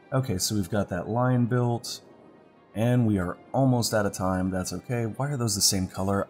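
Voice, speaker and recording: A man with a very low voice and a stuffy, congested sound talks through his gameplay in a relaxed, thinking-aloud way, often repeating single words as he clicks. Clear background music plays under his voice the whole time. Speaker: let's play host. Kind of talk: casual gameplay commentary.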